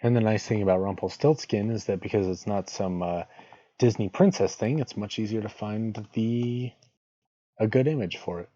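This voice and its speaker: A man's voice: on the low side, moderate pace, warm tone. A man on a reading stream, speaking as a plain storyteller and casual host. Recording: dull lo-fi audio, roomy echo.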